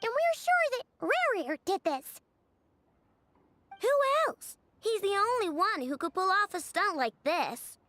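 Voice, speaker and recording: A woman voicing a tiny, childlike, excitable fairy companion in an anime game, squeaky and dramatic. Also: a little slow, heavily acted. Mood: curious, puzzled. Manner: refers to self in third person, dramatic.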